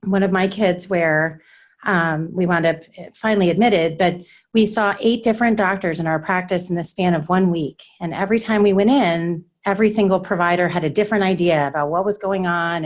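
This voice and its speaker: A woman with a low-pitched voice leads the discussion at a workshop. She explains her points in a measured, steady way. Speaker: workshop presenter.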